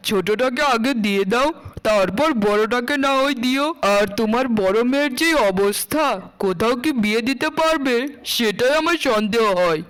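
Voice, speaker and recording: A man's voice: extremely high pitch, lively intonation, normal speed, a bit bright, smooth, rough recording. A man playing a pushy, chatty village matchmaker in a children's cartoon, familiar and persuasive.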